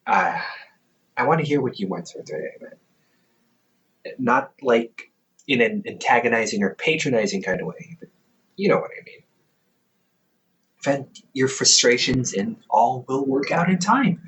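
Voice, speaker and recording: A goofy, caring older brother, as a man plays him talks slowly to the listener, his pitch leaping around with dramatic bursts and easy laughter. His voice sits at an ordinary middle pitch. He sounds a little distant from the mic. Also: expressive, fairly bright tone. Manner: playful, chatty, laughs often, dramatic bursts. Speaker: audio roleplay performer. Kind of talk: audio roleplay to the listener.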